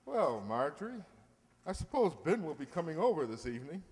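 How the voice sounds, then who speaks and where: Un actor haciendo de tío pomposo, voz muy cambiante. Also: male voice, on the high side, very animated, fairly quick, darkish tone, husky, in a large hall. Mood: grumbling, evasive. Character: blustery middle-aged uncle, pompous but soft-hearted. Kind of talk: old-time radio comedy acting.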